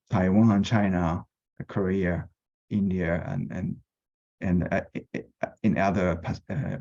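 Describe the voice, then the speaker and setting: A middle-aged man from Japan with a Japanese accent, presenting himself as a board candidate on a webinar, pausing often with many fillers. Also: low-pitched, warm tone, slightly rough. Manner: hesitant, many pauses and fillers. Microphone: video call. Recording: dull lo-fi video call audio.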